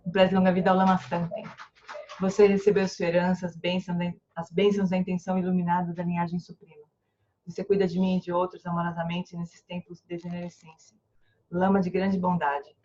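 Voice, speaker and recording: A woman's voice: on the low side, slight background noise. Woman chanting prayers over a muffled call. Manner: slow recited chants and warm animated storytelling. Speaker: dharma study group leader. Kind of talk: chanting prayers and informal teaching. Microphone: online call microphone. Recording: slightly muffled lo-fi sound.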